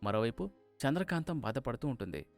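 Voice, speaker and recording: A man's voice: mid-range voice, big pitch swings, brisk, no room sound, soft background music. A man reading scripted narration for a moral story as its narrator.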